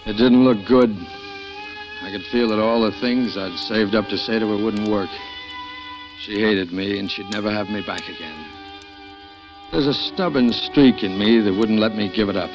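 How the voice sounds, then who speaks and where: This is an actor playing a jealous, brooding husband in a vintage radio play. He confides in a low, deep voice. The broadcast sounds dull and old, with music under him. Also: moderate pace, close to an everyday voice. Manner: low, confiding first-person narration. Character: brooding jealous husband narrating his scheme. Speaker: narrator and lead. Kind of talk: 1940s radio drama acting.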